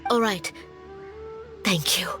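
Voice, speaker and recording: A woman's voice: mid-range voice, slow pace, sharp tone, soft background music. Worried, pleading mother. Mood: anxious, pleading. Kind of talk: video game voice acting.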